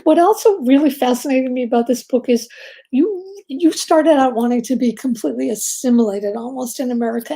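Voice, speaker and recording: A woman hosting an author interview, enthusiastic and quick to laugh. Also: a little high, mellow, slightly rough. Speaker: interviewer host. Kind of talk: casual interview.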